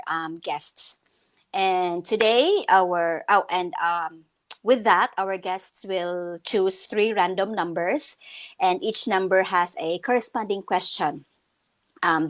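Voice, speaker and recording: A woman from the Philippines co-hosting a casual online business chat, chatty and upbeat with frequent fillers and restarts. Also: medium pitch. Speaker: co-host. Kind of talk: casual live-stream chat.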